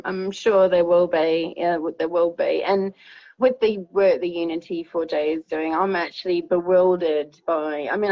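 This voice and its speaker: A woman from New Zealand with a New Zealand accent, talking as an interview guest in a casual, hesitant way with many pauses and ums. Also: fairly low pitch, no echo, dull audio. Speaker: campaigner guest.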